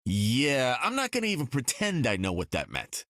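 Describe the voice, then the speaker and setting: An actor playing a confident, wisecracking superhero archer in a video game, with casual, wry American banter. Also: male voice, medium pitch, huge pitch swings, normal speed, a bit bright, no echo, clean studio sound.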